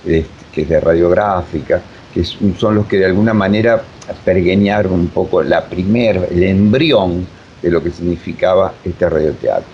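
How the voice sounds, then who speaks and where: An older man with an Argentine Spanish accent answers interview questions conversationally, in a halting, digressive way, often repeating himself. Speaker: interviewed theater director and voice teacher. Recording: dull sound with faint rumbling noise.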